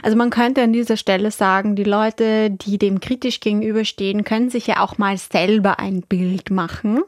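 A woman's voice: medium pitch, steady tone, normal speed, no room sound. A woman hosting a conversational interview in a radio studio. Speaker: radio show host.

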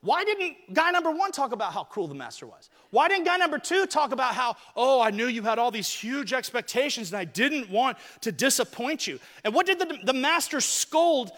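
Bright high-voiced joking preacher. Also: male voice, normal speed, hardly any pauses. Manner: joking, animated, talks to people on stage. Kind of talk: lively sermon with audience volunteers. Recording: reverberant hall sound.

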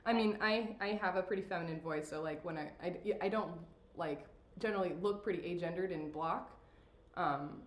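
A woman hosting a podcast in casual chat, blunt and profane and quick to laugh. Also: on the low side. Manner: laughs often, blunt and swearing. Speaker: podcast host. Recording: roomy echo.

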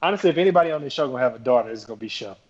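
Man with quick jabs on a muffled mic. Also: mid-range voice, expressive. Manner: quick jabs, incredulous questions. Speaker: podcast co-host. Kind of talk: casual banter.

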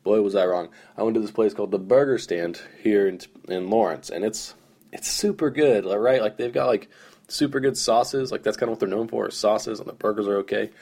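Hoarse, groggy low-voiced man. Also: brisk, a little mellow. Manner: rambling, self-deprecating, lots of fillers. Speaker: podcast host. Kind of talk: casual solo storytelling.

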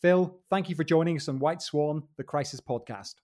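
A man's voice: on the high side, moderate pace, a little mellow. A man hosting a podcast, summing up points and welcoming his guest. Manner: summarizing, welcoming. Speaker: podcast host. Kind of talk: hosting interview.

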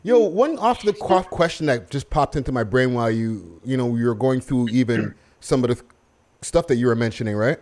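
A man's voice: medium pitch, lively intonation, a little fast, darkish tone. A man interviewing a guest in casual, slangy chat.

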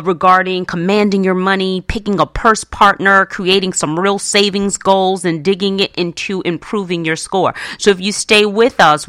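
A woman hosting a radio show, talking conversationally and motivationally about personal finance in an animated way. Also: lowish voice. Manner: animated, conversational, acts out imagined remarks.

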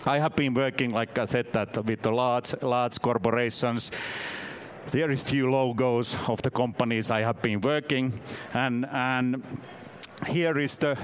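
A man from Finland in his fifties with a Finnish accent, giving a halting, self-deprecating conference talk in a second language. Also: medium pitch, a little hiss or hum. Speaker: conference speaker. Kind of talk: conference presentation. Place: conference session room.

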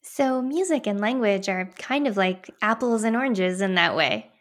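A woman with a middle-pitched voice speaks as an interviewer. Her delivery is conversational, suited to an educational discussion. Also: animated, moderate pace.

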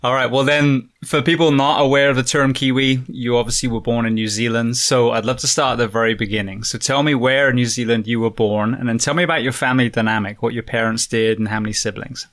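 A man from England whose accent drifts between English and American. He hosts casually, asking friendly questions at a relaxed pace. His voice sits at an ordinary middle pitch. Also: fast talker, few pauses. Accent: mixed English and American. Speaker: podcast host.

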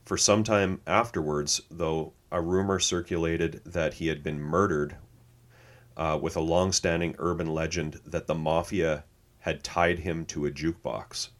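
A man's voice: very deep voice, steady tone, a little slow. A man co-hosting a podcast, chatting casually, reading notes aloud and laughing easily. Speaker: podcast co-host.

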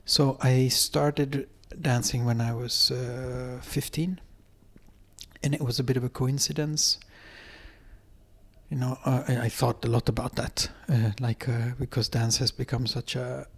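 A man's voice: mid-range voice, little pitch movement, unhurried, slightly rough. Hesitant Swedish-accented middle-aged man. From Sweden. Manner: hesitant, many fillers and restarts. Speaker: dance professor, guest. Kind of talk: conversational interview. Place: apartment.

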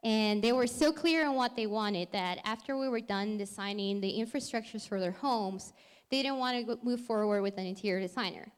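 A young woman from Peru giving an earnest, explanatory startup pitch on stage as the company's founder. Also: a little fast, smooth. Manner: earnest, explanatory, occasional self-correction.

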